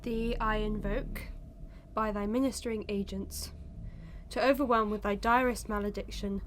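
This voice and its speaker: An actress playing an anguished, devoted wife who pleads in archaic, emotional language in a Victorian melodrama for audio. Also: female voice, mid-range voice, steady tone, normal speed, a little hiss or hum, natural voice. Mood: solemn, defiant.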